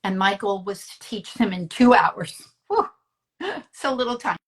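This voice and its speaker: A woman interviewer talking conversationally, sharing personal anecdotes in an earnest, emphatic way with pauses between phrases. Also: mid-range voice. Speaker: interviewer and storyteller who works with teens.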